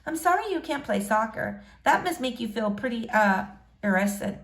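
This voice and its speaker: A woman's voice: on the high side, big pitch swings, moderate pace, pitched above the performer's own voice. A woman voicing a perky, friendly schoolgirl in a storybook reading, chatty and upbeat. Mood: shy, friendly.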